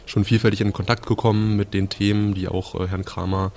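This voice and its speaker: A man's voice: on the low side, level tone, fairly quick, a little mellow, a little hiss or hum. A man in his twenties introducing himself, hesitant and friendly. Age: 20s. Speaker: law student. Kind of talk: self-introduction.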